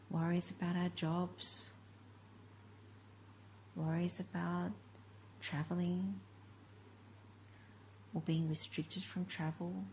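A woman leading a guided meditation as a teacher, speaking gently in short, unhurried phrases with long pauses. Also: fairly low pitch, a little hiss or hum, poor audio. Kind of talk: guided meditation, slow spoken instruction.

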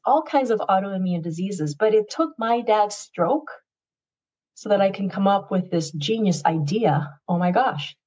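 A woman in her fifties, a retired nurse whose first language is Tagalog, tells her wellness story in an earnest, measured way on a video call. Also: medium pitch, darkish tone, slightly rough. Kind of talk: podcast guest story. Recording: muffled lo-fi sound.

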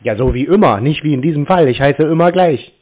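Man, slightly raised pitch, pedantic, deadpan, telephone-band recording. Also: fairly quick, crowd noise. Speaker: prank call recipient. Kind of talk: phone conversation. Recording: narrow lo-fi phone audio.

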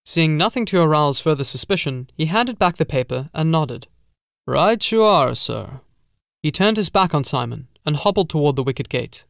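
Higher-voiced man narrating gothic horror. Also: lively intonation, a little fast, gravelly, hardly any pauses, fairly bright tone, no room sound, studio quality. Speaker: audiobook narrator.

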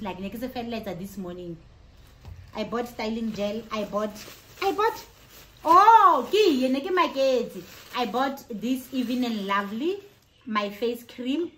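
The South African woman you would hear filming a chatty home vlog for her followers, high-voiced, expressive, slipping between languages. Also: a little hiss or hum. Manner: excited, expressive. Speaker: vlogger. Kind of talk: casual vlog chat mixing in another language. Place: living room and kitchen at home.

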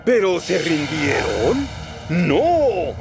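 Un actor de acento latinoamericano interpreta a una vieja locomotora de vapor, animosa y pícara, con discursos teatrales de caricatura infantil. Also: male voice, fairly high pitch, sing-song, very slow, creaky, music in the background, exaggerated voice. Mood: rousing. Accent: Latin American. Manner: theatrical, exclamatory speechmaking. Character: spirited old steam engine, cheeky and rousing storyteller. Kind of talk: animated children's cartoon acting.

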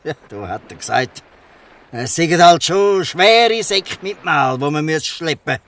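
A man plays a kindly, bluff fisherman in a Swiss German radio drama. His voice is fairly high and swoops with animation, fatherly and shrewd, a little off the mic. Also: lively intonation, a little slow, fairly bright tone. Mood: kindly, persuasive. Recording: slightly distant from the mic.

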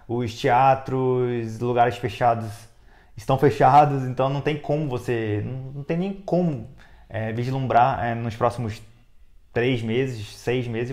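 Mid-pitched Brazilian man explaining. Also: darkish tone. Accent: Brazilian Portuguese. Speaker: travel vlogger. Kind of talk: casual explanation to camera. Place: indoor living room, seated on sofa.

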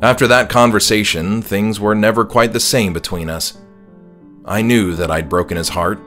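A man reading his own horror story as dramatic first-person audiobook narration, measured and somber. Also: on the low side, steady tone, fairly bright tone, faint music behind.

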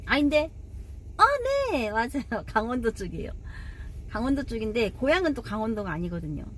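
A woman's voice: on the high side, lively intonation, a little fast. A woman from Gyeonggi Province chatting casually with her live viewers while driving, laughing often. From Gyeonggi Province, South Korea. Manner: chatty, laughs often, talks back to chat comments. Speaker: live streamer. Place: inside a car. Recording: loud car noise. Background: loud road and engine noise.

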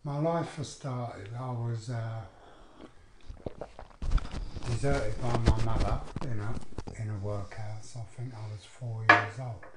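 Slow older London man, roomy sound. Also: on the low side, steady tone, very noisy. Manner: slow, plain, pausing. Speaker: interview guest, abuse survivor. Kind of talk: recounting memories. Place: living room, indoors.

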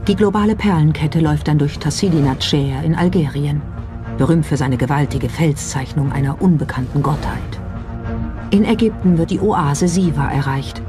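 A narrator reads a scripted documentary in a mid-pitched voice. The delivery is measured and builds suspense like a storyteller. Music plays clearly behind the voice. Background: background music.

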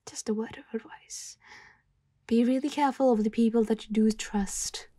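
A woman playing a calm, worldly mafia boss in an ASMR roleplay, speaking softly and intimately as she gently advises an employee. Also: a little high, normal speed, no echo, natural voice. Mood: quietly protective.

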